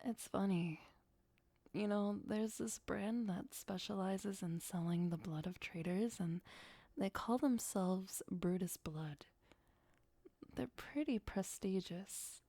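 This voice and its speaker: A woman playing a possessive, doting vampire girlfriend in an ASMR roleplay, speaking softly and sweetly with breathy, often whispered delivery. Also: mid-range voice, slow, no room sound. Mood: musing, fond.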